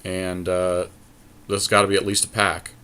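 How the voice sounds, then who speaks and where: Low-pitched man thinking through card picks. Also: completely flat delivery, normal speed, sharp tone, slight background noise. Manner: thinking aloud, deliberating choices. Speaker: podcast co-host and player making card picks. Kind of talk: casual commentary on a trading card game draft.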